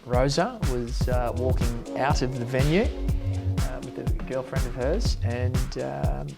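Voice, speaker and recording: A man's voice: mid-range voice, takes it slowly, gravelly. A man running for mayor, answering interview questions in a rambling, hesitant way with many restarts, in a bar. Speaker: mayoral candidate and bar owner. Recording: loud background music.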